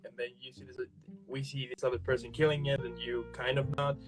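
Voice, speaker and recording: A man's voice: medium pitch, little pitch movement, brisk, over music. A man hosting an interview show, asking questions in an eager, upbeat way. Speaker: host. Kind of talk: casual interview chat.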